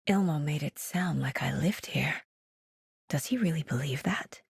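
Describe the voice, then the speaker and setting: A woman's voice: deep voice, a little slow, creaky, husky, no room sound, clean studio sound, exaggerated voice. A woman playing a determined, guarded investigator in a horror video game, earnest and terse in a naturalistic delivery. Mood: hushed, uneasy.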